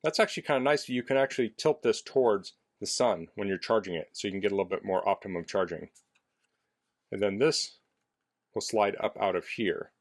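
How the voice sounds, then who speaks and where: Low-voiced man giving a casual product demo. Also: a little fast. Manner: plainspoken, explanatory. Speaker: product reviewer. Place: indoors in a basement.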